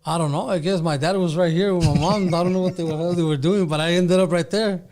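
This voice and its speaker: Higher-voiced Chicano man chatting casually. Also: fairly even intonation, fast talker, darkish tone. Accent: Chicano Californian. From Southern California, United States. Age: around 40. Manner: informal, slangy code-switching. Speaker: rapper, podcast guest. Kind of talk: casual chat switching between Spanish and English.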